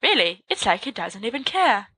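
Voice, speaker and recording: A woman's voice: fairly high pitch, sing-song, normal speed, pushed and bright, slightly rough, no room sound, heavily acted. A woman voicing a severe older witch professor who speaks in a clipped, dryly ironic way. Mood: wry.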